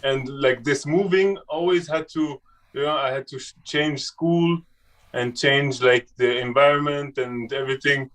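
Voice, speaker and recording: A young man from Switzerland, a guest telling his story in a casual conversation, halting and restarting often. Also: mid-range voice, a bit bright, recorded from a distance, rough recording. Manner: halting, with many restarts and fillers.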